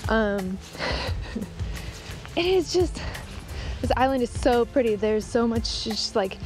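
A woman's voice: a little high, animated, slow pace, music in the background. A woman in her thirties chatting enthusiastically and giggling as she vlogs outdoors on a wooded island shore, filming on a phone held at arm's length. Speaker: sailing vlogger. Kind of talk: casual vlog chat.

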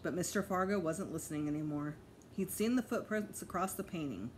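A woman's voice: lowish voice, a little fast, slight background noise. A middle-aged woman reads a children's storybook aloud with care and expression, like a teacher to pupils. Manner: careful, expressive reading with voiced dialogue.

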